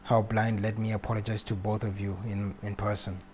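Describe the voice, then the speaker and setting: A man live streaming a video game, reading text aloud and commenting casually in a halting way. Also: on the low side, level tone, darkish tone. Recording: faint background noise, game ambience.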